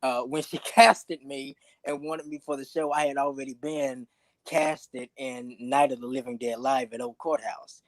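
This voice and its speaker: A man's voice: mid-range voice, fairly even intonation, unhurried, no room sound. A man speaking as a guest actor in casual, earnest conversation, telling things emphatically. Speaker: stage actor, show guest.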